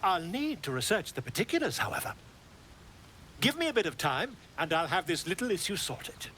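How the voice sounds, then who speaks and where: A man's voice: high voice, very animated, fairly quick, bright, forceful tone, slight background noise, heavily acted. A man voicing a pompous, theatrical bard and scholar in a fantasy game, with florid, verbose delivery. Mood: intrigued, self-assured. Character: flamboyant, pompous bard and self-styled scholar, grandly theatrical.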